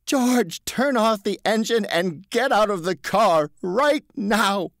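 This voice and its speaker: A man voicing a timid, rule-bound male car salesman who pleads and then yells in panic. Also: sing-song, moderate pace, no echo, clean studio sound. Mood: panicked. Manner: pleading, high and strained, rising to yells.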